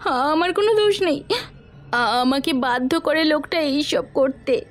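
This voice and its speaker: A woman's voice: high-pitched, measured pace. A woman playing a cornered, pleading wife who protests her innocence in a melodramatic audio drama. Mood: desperate pleading.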